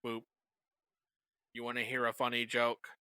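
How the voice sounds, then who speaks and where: A man voicing a deadpan robot in a stilted monotone for a podcast bit. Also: medium pitch, a little slow, clear, resonant tone, dry recording, studio quality, pitched below the performer's own voice. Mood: flat, deadpan. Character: deadpan robot telling binary jokes.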